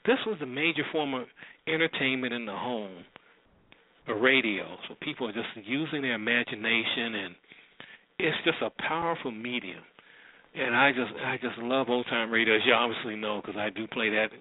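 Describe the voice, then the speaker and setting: A man's voice: mid-range voice, no room sound, phone-quality sound. Guy hosting radio show, casual rambly dj chat, into it, dry thin lofi sound.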